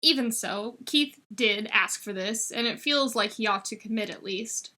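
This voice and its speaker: Bright, high-voiced woman narrating a story. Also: unhurried. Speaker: fan fiction reader. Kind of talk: expressive scripted story narration.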